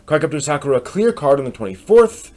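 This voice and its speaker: A man with an American accent hosting a live stream, giving a casual news rundown in a hesitant, halting way as he thinks aloud. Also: a little high, sing-song, darkish tone. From United States.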